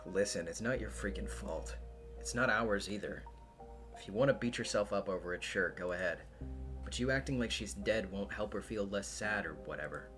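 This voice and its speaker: A solo performer puts on a teenage boy from a visual novel, low in pitch and gruff. He talks in blunt slang, impatient but awkwardly concerned. Music runs clearly underneath. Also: moderate pace, close to an everyday voice. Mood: irritated, blunt. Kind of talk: visual novel character acting. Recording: music behind the voice.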